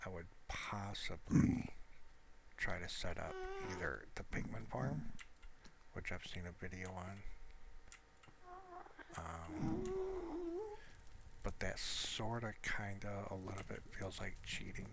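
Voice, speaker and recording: A man's voice: low voice, sing-song, slow, gravelly, long pauses. A man giving casual, rambling gameplay commentary, thinking aloud in an informal, hesitant way. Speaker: gamer recording gameplay commentary. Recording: loud noise with clicks behind voice.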